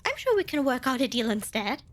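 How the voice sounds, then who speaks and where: Woman acting as small cute demon girl, high voice, swooping intonation, nervous, bubbly, salesy, polite, ASMR roleplay. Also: very animated, fairly quick. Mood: pleading, flustered. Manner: hesitant stammering, bubbly, over-polite. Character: cute little female demon, eager, nervous, cheekily salesy.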